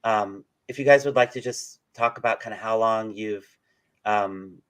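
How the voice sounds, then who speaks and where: The man running a relaxed online livestream interview, easing guests into long, loosely worded questions. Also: lowish voice, normal speed. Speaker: interviewer, channel host. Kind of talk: casual live interview.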